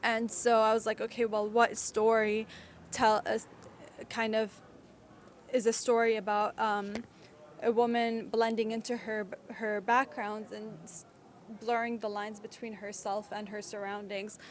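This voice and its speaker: A woman filmmaker explaining her animated film in a reflective, tentative way. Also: on the high side, measured pace, slight background noise. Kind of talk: interview answers.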